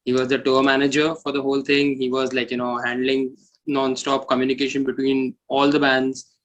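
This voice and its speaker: A man talks as a guest in a casual interview. His voice is medium in pitch, and he speaks informally, restating phrases to press his point. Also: completely flat delivery, a little fast, rough recording. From India. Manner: informal, repeats phrases for emphasis. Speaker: metal musician, interview guest. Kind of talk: casual chat.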